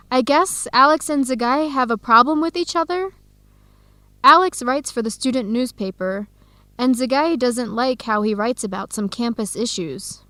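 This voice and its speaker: Radio drama role: young female researcher, inquisitive and friendly, slightly high voice, dry sound. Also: sharp tone, no echo. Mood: chatty. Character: Helen, curious friendly young woman researcher. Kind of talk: educational radio drama dialogue.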